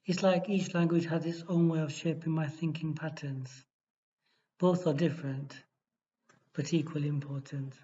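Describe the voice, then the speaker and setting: Male exam tutor, measured read-aloud delivery, warm mellow tone, dull recording. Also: fairly high pitch. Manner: steady, measured reading with small restarts. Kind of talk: reading a model essay aloud.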